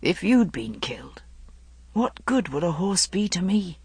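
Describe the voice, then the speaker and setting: A woman voicing an anguished, weary young king with a tender, halting manner in a storybook reading. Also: on the low side, sing-song, darkish tone, slightly rough, no room sound, heavily acted. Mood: distraught. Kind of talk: dramatic audiobook storytelling.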